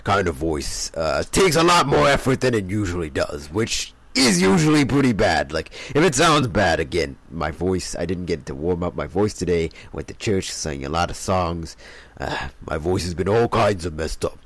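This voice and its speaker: A young man with a hoarse voice, giving casual commentary on a game and rambling through laughing asides. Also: low-pitched, sing-song, moderate pace, fairly bright tone. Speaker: let's play host.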